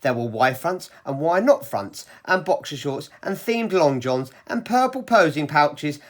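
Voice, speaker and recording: A woman's voice: sits low, lively intonation, measured pace. A woman reading a story to children in a theatrical, playful and emphatic way.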